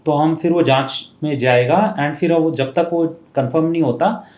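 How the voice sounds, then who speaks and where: A man's voice: fairly high pitch, moderate pace, a little mellow. An Indian-accented man explaining things methodically as an interview guest, mixing Hindi and English as he goes. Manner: methodical, breaks points into parts, switches between Hindi and English. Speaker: air force officer interview guest. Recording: echoey room.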